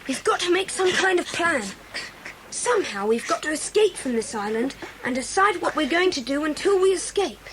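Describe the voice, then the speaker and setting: An actress playing a sensible, reassuring child who takes charge, in a children's audio drama. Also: female voice, sits high, lively intonation, moderate pace, noisy background. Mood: steady, thoughtful.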